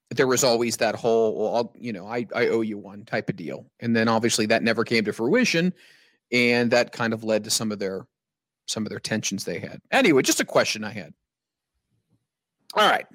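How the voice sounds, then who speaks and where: A man's voice: mid-range voice, fairly bright tone, dry recording. A man hosting a casual podcast chat, joking around and asking his guests questions. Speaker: podcast host.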